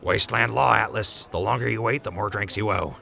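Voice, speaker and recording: A man's voice: fairly low pitch, fairly quick, creaky, sharp tone, faint music behind, exaggerated voice. A man voicing a blunt livestock hand who swears casually and teases his friends in an audio drama. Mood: wry. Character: crude, blunt livestock hand, gruff and teasing.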